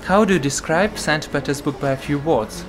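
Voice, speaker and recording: A young man in his twenties with a Russian accent, hosting a casual cafe chat and speaking haltingly with many fillers. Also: a little high, background noise. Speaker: vlogger host. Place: busy cafe indoors. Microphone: desk microphone in front.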